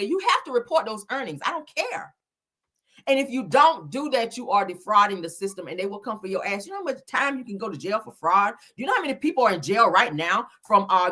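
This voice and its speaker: Lady doing reaction commentary, bright forceful voice, swoops all over, blunt and scolding, cusses a lot. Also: on the high side. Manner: blunt, scolding, heavy profanity; emphatic, repeats phrases for effect. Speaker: YouTuber commentating on celebrity and viral topics.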